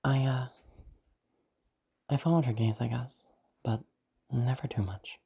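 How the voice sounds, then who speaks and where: A woman playing a detached, eerily calm sociopath who recounts the past in a halting, musing monologue with a husky, creaky voice. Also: very deep voice, exaggerated voice. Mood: detached reminiscing. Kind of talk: first-person audio roleplay monologue.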